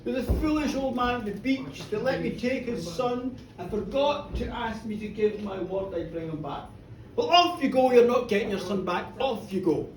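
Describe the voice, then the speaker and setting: A storyteller voicing a tall, sly, magical male trickster who speaks slowly, with deliberate menace. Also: mid-range voice, animated, roomy sound, recorded from a distance, background noise, close to an everyday voice. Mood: dismissive.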